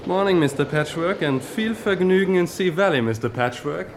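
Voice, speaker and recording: An actor playing a chirpy, over-familiar train conductor in a radio crime play. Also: male voice, high-pitched, expressive, normal speed. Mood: breezy, knowing. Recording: loud train noise behind.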